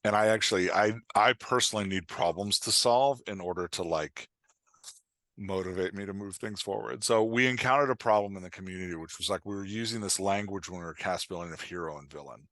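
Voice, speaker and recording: A man's voice: deep voice, fairly quick, a bit bright, no room sound, studio quality. A man speaking as a guest writing coach on a podcast, explaining things in an informal, emphatic way with quoted examples. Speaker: guest author and dialogue coach.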